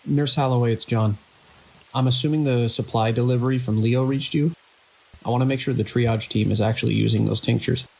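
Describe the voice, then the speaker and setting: An actor playing a controlling male hospital director in an audio drama, brisk and dismissively reassuring as a crisis grows. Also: medium pitch, steady tone, gravelly, darkish tone, low-quality recording. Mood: businesslike, reassuring.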